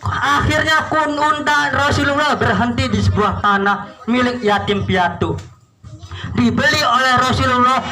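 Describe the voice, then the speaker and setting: High-pitched, bright male children's storyteller. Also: rough recording. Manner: interactive, asks listeners questions, repeats phrases. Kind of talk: animated religious storytelling for children.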